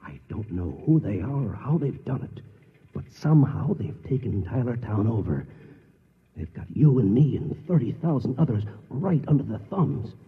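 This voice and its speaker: An actor playing a determined, alarmed ordinary man in mid-century American radio drama, with a husky, urgent, earnest delivery. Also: mid-range voice, very animated, normal speed. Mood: urgent explaining. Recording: muffled, reverberant old radio recording.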